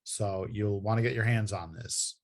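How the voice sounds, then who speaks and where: A man's voice: on the low side, normal speed. A man hosting a casual promotional interview, speaking in an affable, chatty way. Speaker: interview host for a comics publisher.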